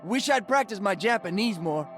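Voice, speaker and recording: Upbeat, high-voiced young musician hero. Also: male voice, lively intonation, moderate pace, a bit bright, soft background music. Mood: easygoing. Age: young adult. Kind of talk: video game character voice acting.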